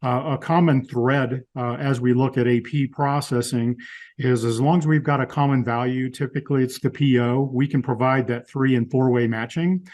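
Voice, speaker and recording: A man with a medium-pitched voice joins a product demo as co-presenter. He talks in a conversational, businesslike way, adding points about the software. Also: fairly even intonation, moderate pace. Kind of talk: live product demo discussion.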